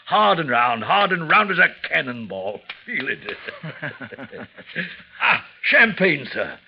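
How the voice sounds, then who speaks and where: An actor playing a fawning, effusive old soldier at a gambling table in a 1940s radio drama, oily and flattering. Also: male voice, on the high side, slow, creaky, slight background noise, exaggerated voice. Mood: eager, fussing. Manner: oily, flattering, theatrical, chuckling. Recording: muffled vintage 1940s radio recording.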